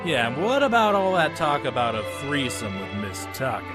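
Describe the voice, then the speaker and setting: A man's voice: mid-range voice, big pitch swings, moderate pace, music in the background, natural voice. A man playing a short-tempered, exasperated documentary interviewer and narrator in a comedic cartoon parody. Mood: teasing.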